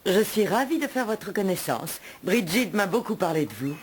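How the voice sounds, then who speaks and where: A woman voicing a brisk, polite young mother in a French cartoon dub, teasing and sceptical. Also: medium pitch, lively intonation, lightly acted.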